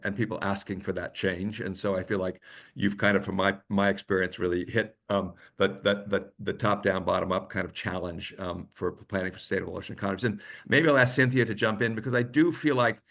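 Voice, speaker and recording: Very deep-voiced male moderator. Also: a bit bright. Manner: halting, repeats words and stammers. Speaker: webinar moderator. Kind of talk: webinar panel moderation.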